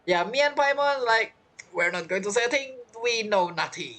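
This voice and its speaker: A man's voice: sits high, lively intonation, normal speed, pushed and bright. A man giving casual, reactive commentary as he plays a game, chatty, with non-native phrasing. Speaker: streamer playing a game. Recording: game music behind the voice. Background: game music.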